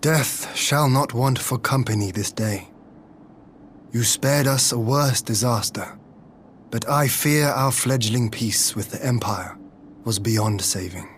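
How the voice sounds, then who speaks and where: An actor playing a grave, noble warrior lord in a video game, with solemn, deliberate, archaic phrasing. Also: male voice, mid-range voice, a little hiss or hum, natural voice. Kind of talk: video game cutscene acting. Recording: loud game music behind.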